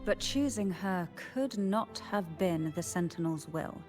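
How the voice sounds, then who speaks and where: A woman playing a composed, secretive noblewoman in a video game, speaking in a formal, measured way. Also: on the low side, animated, a little mellow. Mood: solemn, explanatory. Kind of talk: scripted video game dialogue. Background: music.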